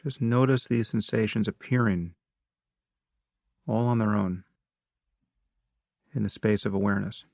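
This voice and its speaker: Low, gravelly man guiding meditation. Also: a little mellow, no room sound, studio quality. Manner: measured, instructive, with long pauses between short phrases. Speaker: meditation guide. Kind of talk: guided meditation narration.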